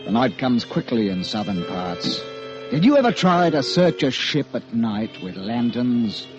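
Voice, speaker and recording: Gruff, wary sea captain, muffled radio. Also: male voice, mid-range voice, very animated, a little slow. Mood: grim, suspenseful. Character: gruff, wary sea captain narrating his tense tale. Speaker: actor and narrator in a radio drama. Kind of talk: 1940s radio drama acting. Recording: muffled old radio broadcast with music.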